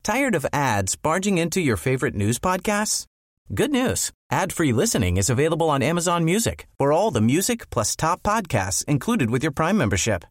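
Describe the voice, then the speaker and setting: A man announcer reading a scripted ad, fairly high and very lively in pitch. His delivery is upbeat and punchy, recorded dry and close. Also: no room sound. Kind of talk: scripted ad read.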